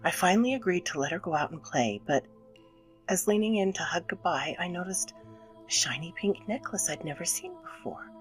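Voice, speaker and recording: A woman playing an anxious, guilt-ridden mother in a dramatic scripted horror narration, theatrical and dipping into breathy near-whispers. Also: on the low side, sing-song, a little fast, faint music behind. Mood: confessional, uneasy.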